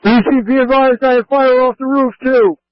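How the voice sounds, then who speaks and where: A man working as a fire incident commander, passing brisk orders and updates over a two-way radio. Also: very high-pitched, steady tone, dry recording. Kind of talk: fireground radio traffic. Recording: muffled lo-fi emergency scanner radio.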